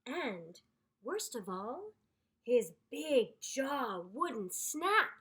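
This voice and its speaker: A woman reading aloud to children in an expressive storytelling style, with deliberate, dramatic emphasis. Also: sits high, slight background noise. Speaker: storybook reader.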